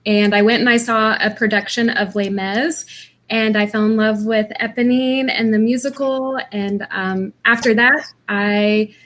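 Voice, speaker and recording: A woman's voice: on the high side, fairly even intonation, a little slow, a bit bright. A woman from Missouri with a Missouri accent, answering interview questions over a video call, hesitant and full of ums. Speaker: interview guest, actress.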